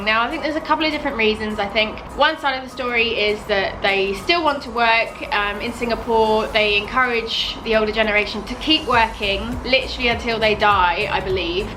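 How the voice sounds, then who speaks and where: Young british girl chatting to camera, says like a lot, bit high voice, music under it. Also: poor audio. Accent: British English. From United Kingdom. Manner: chatty, animated, fills with "like". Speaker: vlogger. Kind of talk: casual vlog commentary. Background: background music.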